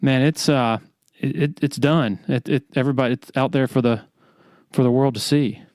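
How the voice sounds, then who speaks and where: Mid-pitched man in a dry space. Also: steady tone, normal speed, darkish tone, no room sound. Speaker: podcast host, sportswriter. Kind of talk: casual interview chat.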